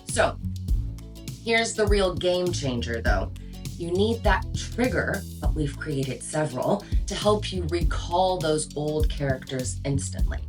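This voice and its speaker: A woman's voice: sits low, lively intonation, slow, music in the background. A woman hosting and coaching voice-over in a casual, chatty, emphatic instructional style. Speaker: voice-over coach and show host. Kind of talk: casual instructional video chat.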